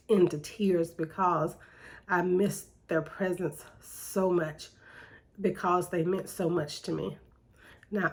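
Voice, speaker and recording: Woman, middle-aged, slightly nasal, low voice, unhurried delivery, reflective poet. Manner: slow and deliberate, with pauses and fillers. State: slightly nasal from seasonal allergies. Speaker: poet hosting her own segment. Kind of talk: reflective spoken monologue with poetry reading.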